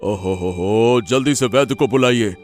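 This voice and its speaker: A man acting grave village elders in a cartoon story, solemn, deliberate and dramatic. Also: fairly low pitch, a little mellow, faint music behind, close to an everyday voice. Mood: worried.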